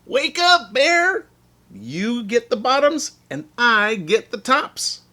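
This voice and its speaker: A man's voice: very high voice, big pitch swings, slow, voice pushed up, heavily acted. A man voicing a clever, cheerful hare farmer with a slyly persuasive manner in a children's storybook. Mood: hearty calling out. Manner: calls out loudly and brightly. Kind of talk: children's storybook read-aloud.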